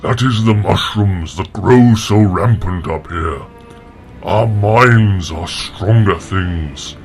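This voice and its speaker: An actor playing a solemn, patient non-human warrior with stately, formal speech in a fantasy audio drama. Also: male voice, sits low, slow pace, faint music behind.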